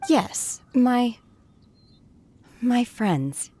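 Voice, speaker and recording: An actress voicing a reserved, melancholy young woman in a video game, measured and subdued. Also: on the high side, expressive, dark tone, slight background noise, heavily acted. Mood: softening.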